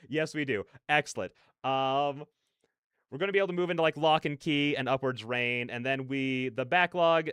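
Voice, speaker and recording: A man's voice: medium pitch, a bit bright, smooth, no echo, studio quality. A man chatting casually as a livestream host, rambling in a scattered way and often interrupting himself. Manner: scattered, self-interrupting, drops playful nonsense filler sounds. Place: large, fairly empty room with soundproofing panels.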